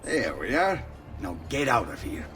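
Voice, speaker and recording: An actor playing a wry, scolding older doctor in a video game. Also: male voice, medium pitch, sing-song, normal speed, a bit bright, soft background music. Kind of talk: video game cutscene acting.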